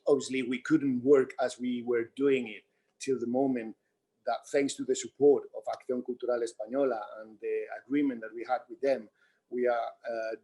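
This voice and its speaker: Male theatre director, Spanish accent, dark husky voice, explaining hesitantly in a post-show discussion. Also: medium pitch, rough recording.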